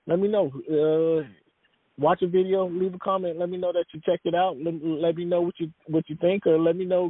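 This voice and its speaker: A man's voice: a little high, no echo. A man being interviewed about his work as a sports writer, talking in a rambling way with many fillers and restarts. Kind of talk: casual interview talk. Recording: dry, tinny phone-like line.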